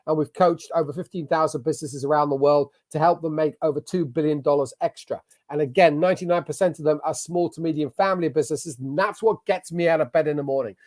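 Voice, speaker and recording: A man's voice: a little high, steady tone, fast talker, hardly any pauses, darkish tone. A man with an English accent giving an online masterclass as a business coach, talking in an emphatic, motivational way. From England. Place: at a computer sharing his screen.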